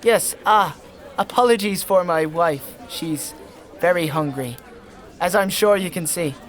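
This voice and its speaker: An actor playing an anxious husband in disguise in a dark fantasy audio drama, polite, apologetic and smoothing things over. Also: male voice, high-pitched, measured pace, a faint crowd. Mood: nervously polite.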